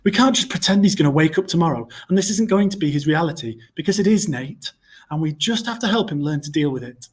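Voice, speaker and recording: Caring mother played by a man, gently persuasive, storybook character, raised pitch, animated. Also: quick pace, husky. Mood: worried.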